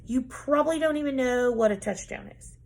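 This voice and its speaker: Give me a woman playing a storybook schoolboy who complains and scoffs, in a rather high, gravelly voice. He sounds doubtful of grown-ups. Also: a little fast, a little mellow. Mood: complaining. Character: complaining eight-year-old boy.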